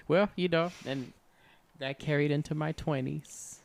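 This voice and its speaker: A man's voice: fairly high pitch, steady tone, takes it slowly, creaky. A man in his forties co-hosting a podcast, chatting casually and expressively, laughing often. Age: 40s. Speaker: podcast co-host. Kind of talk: casual chat.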